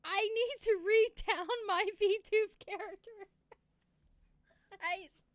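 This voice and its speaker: A woman's voice: extremely high pitch, steady tone, slow, no echo. A woman co-hosting a live stream, teasing and giggling through playful banter. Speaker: stream co-host.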